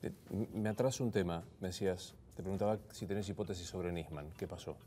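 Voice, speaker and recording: A man conducts a studio interview in a low, mellow voice. His questions come in a relaxed, conversational way, but they keep steering back to the point. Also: fast talker, slight background noise. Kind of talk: television interview. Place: television studio.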